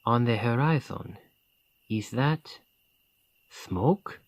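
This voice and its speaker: A man's voice: mid-range voice, lively intonation, extremely slow, no echo, full character voice. A man voicing a loyal veteran knight bodyguard who speaks formally and dutifully, in an ASMR roleplay.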